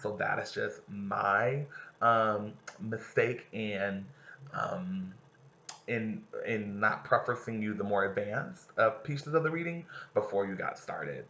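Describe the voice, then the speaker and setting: A man's voice: on the low side, sharp tone. A man teaching casually, talking straight to his students while reading a novel aloud. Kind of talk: read-aloud lesson with discussion. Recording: roomy, slightly dull recording.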